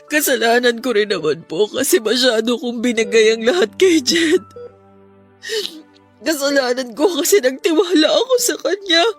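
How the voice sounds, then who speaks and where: Casting: remorseful young daughter for radio drama, high voice, tearful and pleading, music underneath. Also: female voice, lively intonation, normal speed. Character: distraught, guilt-ridden young daughter, humiliated and remorseful. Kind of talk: Filipino radio drama acting. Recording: music bed behind the voice.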